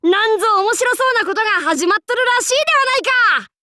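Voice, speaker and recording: A woman's voice: extremely high pitch, quick pace, bright, forceful tone, dry recording, clean studio sound. A woman voicing a bold, androgynous young demon-king warlord in an anime game, with boastful, archaic lordly speech and playful swagger. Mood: excited. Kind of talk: anime game character voice lines.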